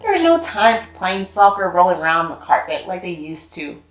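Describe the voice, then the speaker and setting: A woman reading a children's picture book aloud in an expressive, sing-song way. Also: fairly low pitch, fairly quick. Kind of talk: storybook read-aloud. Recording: echoey room with faint music.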